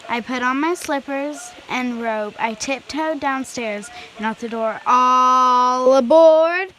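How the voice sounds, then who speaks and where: Female storybook reader, higher-pitched, unhurried and halting, on a slightly noisy amateur home recording. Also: fairly bright tone. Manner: halting read-aloud with stumbles. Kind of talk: reading a children's picture book aloud.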